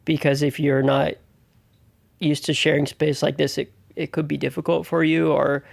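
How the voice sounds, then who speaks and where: A man's voice: fairly high pitch, level tone, normal speed, gravelly, dry recording. A man explaining things casually in an interview. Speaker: interviewee, house resident.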